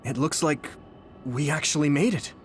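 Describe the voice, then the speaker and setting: A man's voice: fairly high pitch, moderate pace, slight background noise, natural voice. A man playing an earnest, determined young hero in an English game dub, with music behind him. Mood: curious.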